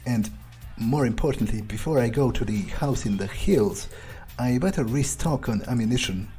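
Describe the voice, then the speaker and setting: A man playing a video game in character, thinking aloud in an unhurried, musing way with trailing pauses. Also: medium pitch, expressive, warm tone, soft background music. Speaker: gameplay streamer playing in character.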